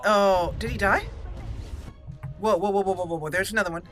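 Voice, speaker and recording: A woman's voice: mid-range voice, a little fast. A woman hosting a gaming channel, giving chatty, exclamatory gameplay commentary and talking to the characters as she plays. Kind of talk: casual gameplay commentary with roleplay. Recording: game music behind.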